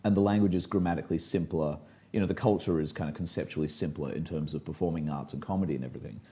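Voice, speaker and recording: Very deep, mellow-voiced man chatting. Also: very fast. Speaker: magician, podcast co-host. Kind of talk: casual conversational storytelling.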